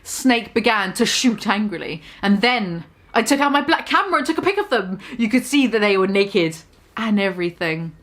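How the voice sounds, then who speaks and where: A woman's voice: mid-range voice, sing-song, moderate pace, a bit bright. A young woman giving a mock-dramatic reading of a fan story, swearing and breaking off to comment on the text. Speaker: reader.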